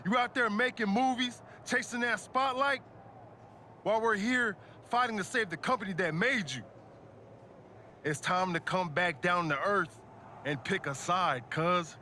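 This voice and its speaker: A man's voice: high-pitched, a little slow, sharp tone, slight background noise. A man playing a fiery pro wrestler in a video game, speaking slangy, punchy lines with emphasis. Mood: fired up. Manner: slangy, punchy, emphatic delivery in short bursts. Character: fiery pro wrestler rallying his allies. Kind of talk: wrestling promo and video game cutscene acting.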